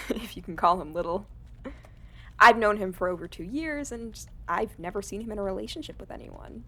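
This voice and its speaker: A woman's voice: medium pitch, normal speed, pushed and bright. A woman playing a friendly, chatty mercenary company recruiter in an audio drama, with a casual, affectionate manner. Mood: friendly, amused. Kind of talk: cinematic audio roleplay acting.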